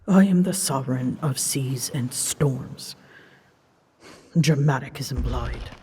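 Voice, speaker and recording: Slow, imperious dragon queen of storms voiced by a woman. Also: deep voice, long reverb tail, slight background noise, exaggerated voice. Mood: stern, imperious. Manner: imperious, theatrical, measured, with hushed breathy phrases. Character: proud, scolding female dragon, sovereign of seas and storms. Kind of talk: scripted audio roleplay, ASMR drama.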